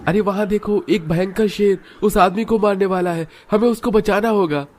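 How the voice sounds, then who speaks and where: A man's voice: high-pitched, fairly even intonation, moderate pace, a little mellow, a little hiss or hum, lightly acted. A man voicing earnest village men in a cartoon moral story, speaking emphatically. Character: grateful village headman and humble poor young husband.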